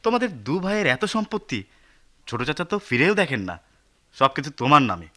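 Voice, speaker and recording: A man's voice: a little high, sing-song, fast talker, bright, forceful tone. A man playing an earnest, modern-minded young college son in a radio drama, reasoning persuasively with a Bangladeshi accent. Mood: earnest protest. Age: young adult.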